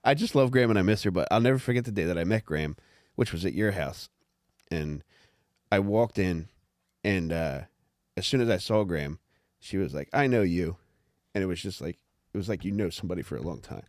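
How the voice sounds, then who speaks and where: A man's voice: deep voice, lively intonation, brisk, darkish tone, no room sound, clean studio sound. A man talking candidly and informally on a podcast, rambling a little and reading out his own written commentary. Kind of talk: casual podcast chat with read-aloud commentary.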